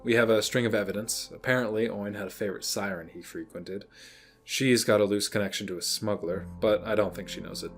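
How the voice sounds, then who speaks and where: Male actor as analytical monk detective, lowish voice, measured and earnest, sci-fi audio play, music bed. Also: fairly even intonation, natural voice. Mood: earnest, explanatory. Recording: music under the voice.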